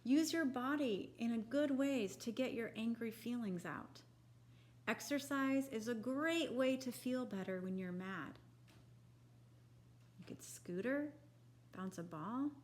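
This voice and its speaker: A woman reading a children's picture book aloud in a deliberate, expressive, instructive way for young listeners. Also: on the high side.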